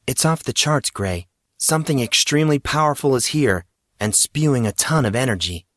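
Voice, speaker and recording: A man's voice: mid-range voice, animated, moderate pace, a bit bright, no echo, studio quality, pitched above the performer's own voice, lightly acted. A man voicing an agitated young gadget expert who rambles urgently and earnestly in an audiobook story. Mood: urgent, alarmed.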